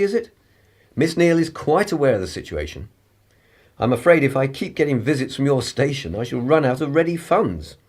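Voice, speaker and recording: An actor playing a pompous, articulate upper-class political radical in a radio play, with a husky voice. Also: male voice, a little high. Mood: breezy, superior. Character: indignant, haughty upper-class male political radical and spy.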